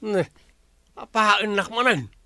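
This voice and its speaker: A man's voice: sits high, slow pace, bright, forceful tone, dry recording, studio quality, heavily acted. A man playing a lazy, belligerent, complaining husband in a Philippine radio drama. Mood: grumbling.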